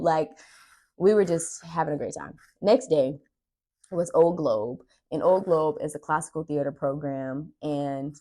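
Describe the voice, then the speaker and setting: A young woman chatting casually as a vlog host, telling a story in an animated, dramatic way with emphasized words. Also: deep voice. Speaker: podcast host and aspiring actress.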